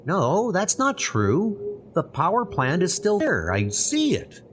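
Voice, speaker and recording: A man's voice: high-pitched, huge pitch swings, slow, a bit bright, a little hiss or hum, heavily acted. A man voicing a brave, earnest, eager young hero in a dramatic comic book audio drama. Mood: earnest, determined.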